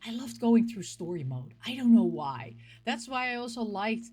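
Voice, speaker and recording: A middle-aged woman chatting casually as a game collecting show host, rambling and animated, often questioning herself aloud. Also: fairly high pitch, moderate pace. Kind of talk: casual rambling vlog chat about game pick-ups.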